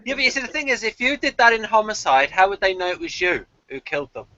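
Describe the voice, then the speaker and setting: A man's voice: high voice, sing-song, quick pace, sharp tone, no room sound, muffled recording. A man in casual TV review chat, co-hosting with questions and enthusiastic, argumentative defences. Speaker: podcast co-host leading questions.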